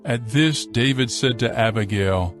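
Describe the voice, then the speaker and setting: A man narrating a dramatized scripture reading in a measured, formal, scripted style. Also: mid-range voice, soft background music.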